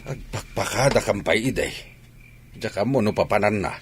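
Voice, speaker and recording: A man playing a gruff, brooding village husband and father in an Ilocano radio comedy-drama. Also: lowish voice, animated, normal speed, a little hiss or hum, close to an everyday voice. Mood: uneasy.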